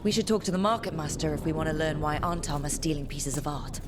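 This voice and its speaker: A woman's voice: deep voice, quick pace, noisy background, natural voice. A woman playing a composed, practical adventurer hero in a video game, speaking in a matter-of-fact, polite way. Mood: businesslike. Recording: music behind.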